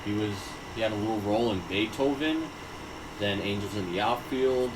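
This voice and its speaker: A man co-hosting a casual movie review podcast, excitable and swearing freely. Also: lowish voice, moderate pace, noisy background. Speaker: podcast co-host.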